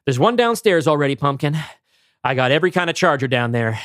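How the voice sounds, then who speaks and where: A man's voice: a little high, big pitch swings, fast talker, fairly bright tone, no echo, clean studio sound. A man reading the part of a self-assured, defensive prepper father in his early forties in a script read, blunt and emphatic. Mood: confident, brisk. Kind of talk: amateur script table read.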